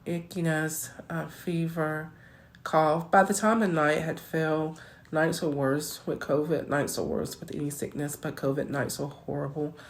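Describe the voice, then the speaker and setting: Hoarse, deep-voiced woman talking casually. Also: steady tone, measured pace. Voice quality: naturally deep voice. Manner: rambling, self-correcting, conversational. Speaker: vlogger. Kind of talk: casual personal account.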